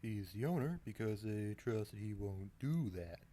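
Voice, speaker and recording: A streetwise man of the slums, played by a woman, low and mellow. The delivery is offhand and hesitant but swings expressively, as in an anime fan dub. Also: expressive, normal speed, a little hiss or hum. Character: streetwise slum-dwelling man, casual and helpful.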